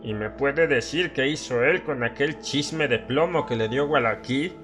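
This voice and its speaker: A man acts out a sly old villager, drunken and half out of his wits, for a horror radio play. He talks very slowly, rambles and presses his listener insistently, over faint music. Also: medium pitch, fairly bright tone, close to an everyday voice. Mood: mocking, manic. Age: older. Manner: cackling, rambling, insistent questioning. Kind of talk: dramatized horror audiobook acting.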